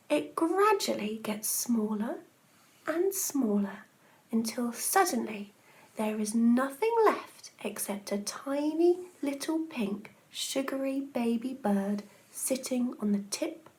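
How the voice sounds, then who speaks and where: An excitable old storybook grandfather, acted out by a woman reader, pitched high. The delivery is emphatic and dramatic, savouring every marvel like a born teller of tales. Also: slow. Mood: slow, savouring wonder. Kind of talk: children's storybook read-aloud.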